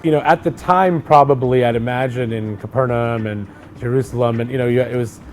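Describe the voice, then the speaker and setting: A middle-aged man in casual conversation, an actor talking loosely and relaxed, with many fillers and restarts. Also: medium pitch, a little fast, a little hiss or hum. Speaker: actor co-hosting a chat.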